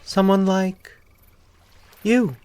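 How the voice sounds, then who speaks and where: A man voicing a small, lonely, shy and eerie woman in a horror story, speaking softly and hesitantly. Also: high voice, steady tone, extremely slow, clean tone, dry recording, pitched above the performer's own voice. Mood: shy, wistful. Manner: soft, hesitant, diffident.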